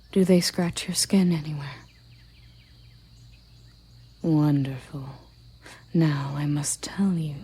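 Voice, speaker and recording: Lady doing soft dom elf queen for asmr, super breathy whisper, mellow, regal n possessive. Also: low voice, exaggerated voice. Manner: slow, soft, commanding endearments. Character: regal elven queen, tender, possessive, soft-dominant lover.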